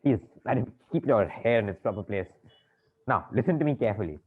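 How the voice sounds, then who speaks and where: Flustered banker on tinny audio. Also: male voice, lowish voice, animated, fairly quick, husky. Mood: polite, strained. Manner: stammering, fussy, over-polite turning frantic. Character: flustered, exasperated male bank manager, polite but fraying. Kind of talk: comic stage-play acting. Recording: tinny band-limited audio, faint noise.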